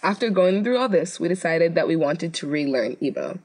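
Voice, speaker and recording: A woman's voice: lowish voice, animated, moderate pace, a little mellow. A young American woman co-hosting a casual web video, chatty and full of "like"s as she tells stories. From United States. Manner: chatty, filler-heavy storytelling with many "like"s. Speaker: co-host.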